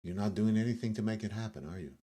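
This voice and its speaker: Deep-voiced man guiding a meditation. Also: mellow. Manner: measured, instructing, with short phrases and pauses. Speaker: meditation guide. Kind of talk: guided yoga nidra meditation.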